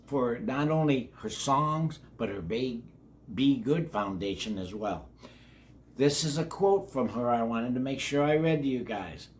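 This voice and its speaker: Slow-talking older man reading to children. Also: mid-range voice, slight background noise. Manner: folksy, addresses children directly, repeats words. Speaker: children's read-along host. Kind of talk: reading a children's biography aloud with chatty asides.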